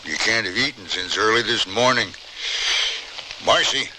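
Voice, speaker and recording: An actor playing a wounded, wry and kindly 61-year-old frontier uncle, with a rural Western American accent in a radio drama. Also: male voice, fairly low pitch, expressive, measured pace. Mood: coaxing. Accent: rural American West. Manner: folksy, chuckling, homespun phrasing. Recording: noisy old radio broadcast recording.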